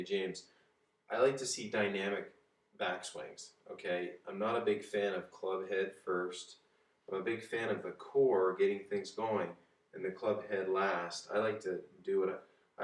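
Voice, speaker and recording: A man in his forties, hoarse from a cold, casually giving golf swing tips while demonstrating at home. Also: low-pitched. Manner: easygoing, demonstrating swing positions while talking. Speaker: golf coach. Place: home kitchen and dining room, indoors. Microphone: far camera. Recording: echoey room, voice from a distance.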